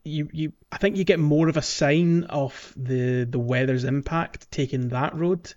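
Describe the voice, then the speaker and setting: A man's voice: fairly high pitch, a little slow, a little mellow, muffled recording. A man co-hosting a gaming podcast in casual chat, rambling and hesitant with many fillers and restarts. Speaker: podcast co-host.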